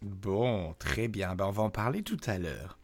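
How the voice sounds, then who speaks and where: A man hosting a monthly arts radio show, introducing it and interviewing a guest in a measured, announcer-like way. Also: lowish voice, animated, no room sound, soft background music. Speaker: radio host.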